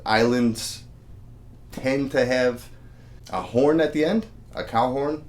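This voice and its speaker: A man's voice: mid-range voice, takes it slowly. A man giving a casual, friendly video lesson on a traditional instrument, counting beats aloud. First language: English. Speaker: music teacher.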